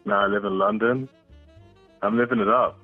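A man's voice: medium pitch, sing-song, normal speed, creaky, husky, no room sound, soft background music. A man in his thirties who grew up in Singapore, calling in to a podcast, laid-back and slangy, laughing easily. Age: 30s. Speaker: caller. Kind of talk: casual chat by phone. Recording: tinny dry phone line.

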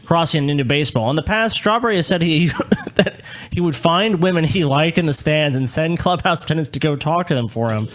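A man's voice: a little high, expressive, brisk. A man co-hosting a podcast with casual comedic banter, swearing freely and sounding incredulous as he reads news aloud. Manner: profane, incredulous. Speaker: podcast co-host.

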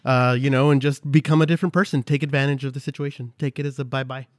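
A man's voice: mid-range voice, level tone, fast talker, no echo, clean studio sound. A man appearing as a guest on a comedy podcast, speaking in casual, joking conversation.

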